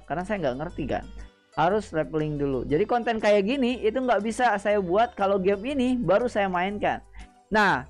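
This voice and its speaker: A man hosting a gaming channel, talking casually to his viewers, repeating himself and often pausing with hesitant fillers. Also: high voice. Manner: chatty, repetitive, frequent hesitant fillers. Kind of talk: casual explainer chat to viewers.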